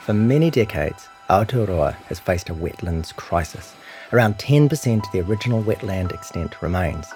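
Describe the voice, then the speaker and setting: A man with a New Zealand accent reading a scripted long-form news feature as narrator, in a measured, serious way. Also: deep voice, lively intonation, darkish tone, faint music behind. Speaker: narrator of a long-read journalism podcast.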